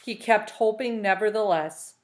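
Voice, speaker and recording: A woman's voice: mid-range voice, moderate pace. Woman teacher reading a story aloud. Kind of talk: expressive storybook read-aloud.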